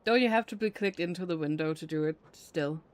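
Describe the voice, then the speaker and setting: A man's voice: on the high side, brisk. A man streaming a game with casual, teasing, self-deprecating commentary and some swearing. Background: game music.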